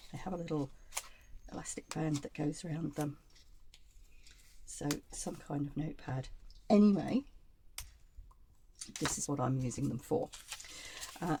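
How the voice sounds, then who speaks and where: A woman hosting a casual craft tutorial, chatty and meandering, her voice croaky from a chest cold. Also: fairly low pitch, mellow, a little hiss or hum. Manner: chatty and meandering, with frequent ums and asides. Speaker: craft channel host.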